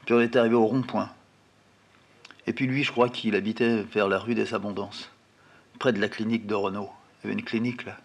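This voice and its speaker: A man telling a first-person childhood memory in a plain, unhurried, quietly reflective way. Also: on the low side, steady tone, gravelly. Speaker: storyteller. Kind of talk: first-person memoir narration.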